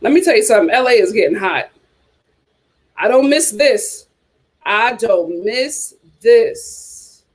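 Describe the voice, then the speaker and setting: Host, woman, lively, emphatic, fairly high-pitched chat voice. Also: slow pace, pauses often, slightly rough. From New York. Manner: animated and emphatic, lots of "you know". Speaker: host of a reality TV chat channel. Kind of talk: casual reaction chat reading viewer comments.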